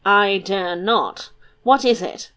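A woman voicing an indulgent, patient king who speaks gently and measuredly. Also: medium pitch, takes it slowly, smooth. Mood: fond.

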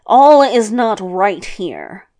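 Casting: female reader as grumbling male devil for a fairy tale, unhurried delivery, quick to anger, exclamatory. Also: mid-range voice, very animated, dark tone, dry recording. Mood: suspicious. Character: gruff irritable male devil, sleepy and easily angered.

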